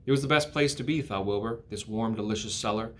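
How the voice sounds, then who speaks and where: A man's voice: fairly low pitch, fast talker. A man with an American accent, an educator, narrating a storybook aloud for children. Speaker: school educator reading aloud.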